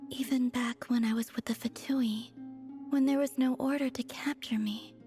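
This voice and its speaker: A woman voicing a gentle, wistful young moon maiden in a video game, soft, breathy and hushed, with a hesitant, dreamy manner. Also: sits high, steady tone, normal speed, faint music behind, close to an everyday voice. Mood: melancholy.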